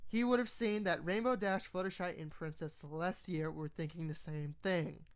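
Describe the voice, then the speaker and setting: A woman reading a story as narrator, a little halting, stumbling over some words. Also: lowish voice. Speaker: fan fiction reader.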